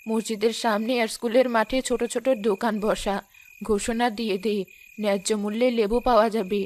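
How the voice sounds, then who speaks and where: A woman's voice: fairly high pitch, steady tone, a little fast, a little mellow, a little hiss or hum, lightly acted. A woman voicing the firm, wise mother of the village leader in a cartoon, speaking in a commanding way. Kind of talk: cartoon voice acting.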